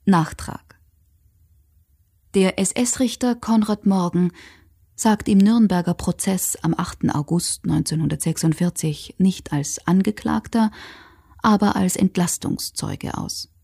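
A woman giving sober, factual, measured scripted narration for a historical radio documentary. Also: fairly low pitch, gravelly, mellow, slightly rough.

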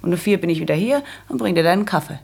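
A practical, bossy young woman in a literary radio drama. Her voice is husky with a creaky grain. She lays things out firmly and matter-of-factly, like someone used to giving orders. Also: on the low side, animated, racing, darkish tone, full character voice. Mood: patient, explaining. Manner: bossy, matter-of-fact, instructive. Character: brisk, practical young daughter bossing her fiancé. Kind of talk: German literary radio play acting.

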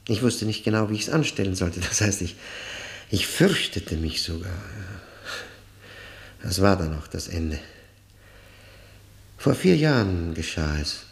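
Radio drama: embittered male lifer, low gravelly voice, slow and halting, haunted, bitterly ironic. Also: very animated, exaggerated voice. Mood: grave recollection. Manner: deliberate, halting, repeating words with grim weight. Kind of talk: German radio play acting, dramatic monologue.

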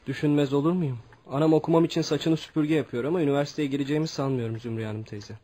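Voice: medium pitch, very fast, warm tone, lightly acted. A performer playing a polite, earnest teenage son from a poor family in a Turkish radio drama. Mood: earnest, downcast. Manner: respectful, earnest. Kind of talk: Turkish radio theatre acting.

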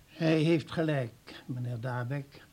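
An actor playing a proud, upright professor and medical director in a radio play, gravelly, husky and emphatic. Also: male voice, medium pitch, slow pace, darkish tone. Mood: shaken, grave.

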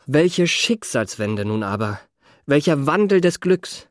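Radio play lead: smooth young male swindler, elegant manners, flowery polite phrasing. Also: on the high side, very animated, slow pace, no room sound, studio quality. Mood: wry, admiring. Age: young adult. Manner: polished, flowery, courteous. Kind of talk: radio drama acting.

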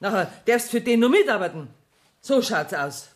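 A woman's voice: on the high side, very animated, normal speed. An actress playing a brash, scheming woman in a radio play, speaking brusquely in Bavarian dialect. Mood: bossy, scornful. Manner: brusque, bossy. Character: brash, scheming, cash-strapped woman, bossy and defiant.

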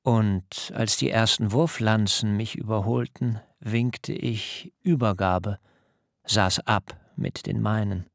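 An actor playing a soft, dignified patriarch who recalls his humiliation in solemn, measured words for a radio drama. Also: on the low side, steady tone, creaky, dry recording, studio quality. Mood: grave, haunted. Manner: solemn, measured first-person recollection. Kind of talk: literary radio drama monologue.

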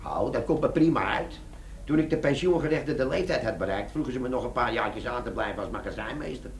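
An actor playing a cheeky, scheming older army sergeant in a comic radio play, with a gravelly, colloquial delivery. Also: male voice, medium pitch, lively intonation, a little fast, close to an everyday voice. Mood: cocky, eager. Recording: noisy old radio drama recording.

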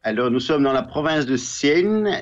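A man's voice: a little high, expressive, normal speed, soft background music. Belgian man on a muffled line. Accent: Belgian French. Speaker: guest on phone. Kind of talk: radio interview.

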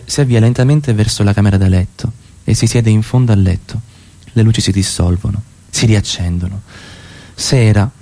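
A man's voice: fairly low pitch, warm tone. A man narrating a radio play, reading the stage directions as scripted narration.

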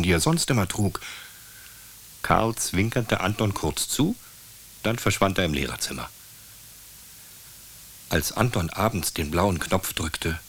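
A man's voice: low-pitched, breathy edge. A man narrating a scripted children's story as the storyteller of a radio drama.

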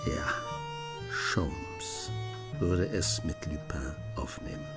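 An actor playing a writer who narrates a radio play in a dramatic, intimate manner, savouring his pauses. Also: rumbling low, loud music behind the voice, full character voice. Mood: brooding, suspenseful. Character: male writer-narrator, absorbed admirer of a gentleman thief.

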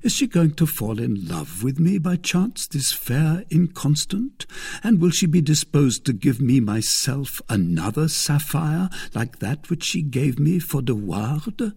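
A man's voice: fairly high pitch, sing-song, measured pace, gravelly, a little mellow, slightly rough, no room sound, studio quality, voice pushed up, exaggerated voice. A man playing a gallant, wily young swordsman in love, with fervent, courtly declamation in an audiobook of a classic novel. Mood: startled, musing.

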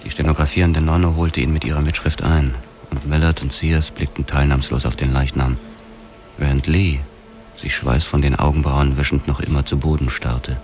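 An actor narrating as a young male detective, grave and measured, in a radio drama. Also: rumbling low, steady tone, a little mellow, faint music behind. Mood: grim.

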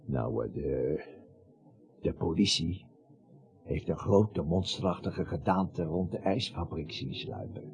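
A male actor playing a grave conspirator in a radio play, speaking in a solemn, halting way. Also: low voice, vocal fry, a little mellow, faint music behind, exaggerated voice. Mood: hesitant, ominous. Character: grave conspirator delivering solemn warnings to a frightened man. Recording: dull, muffled radio recording.

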